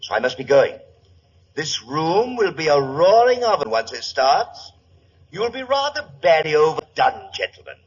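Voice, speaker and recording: Suave, gloating saboteur, husky voice. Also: male voice, on the high side, very animated, moderate pace. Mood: mock-polite menace. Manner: mock-polite, menacing, taunting. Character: suave gloating male German saboteur villain with a pistol. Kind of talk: 1940s radio drama acting. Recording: dull, muffled old radio broadcast.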